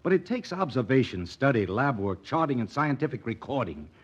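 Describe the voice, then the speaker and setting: Earnest young doctor, old muffled radio drama. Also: male voice, mid-range voice, big pitch swings, fairly quick, slightly rough. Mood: downcast, frustrated. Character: earnest, dedicated young doctor in a mining town. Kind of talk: 1940s radio drama acting.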